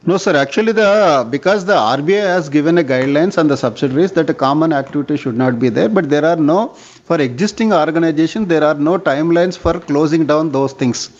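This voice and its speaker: Indian man, higher-pitched, dull call audio. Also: fairly quick, darkish tone. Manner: formal, often addressing listener as sir. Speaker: bank executive answering analysts' questions. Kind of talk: earnings call question-and-answer.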